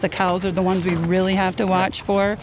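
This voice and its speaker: A woman in her fifties, a roundup rider, telling stories in a lively way and laughing easily during a casual outdoor interview. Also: lowish voice, a little fast. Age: 50s. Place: outdoors at a busy event. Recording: noisy outdoor field recording.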